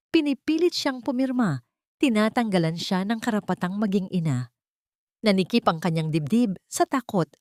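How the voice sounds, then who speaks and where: A woman's voice: medium pitch, a little mellow, no echo, clean studio sound. A woman with a Filipino accent reads a scripted dramatic story as a storyteller.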